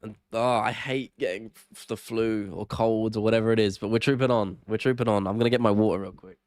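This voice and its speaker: A young man with a cold hosts a relaxed live stream, his nasal, gravelly voice sitting fairly low. He chats loosely with viewers, apologizing and thinking out loud. The sound is dry and close, with no room around it. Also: a little fast, dry recording. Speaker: MMA live-stream host. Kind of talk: casual live stream chat, reading out viewer comments.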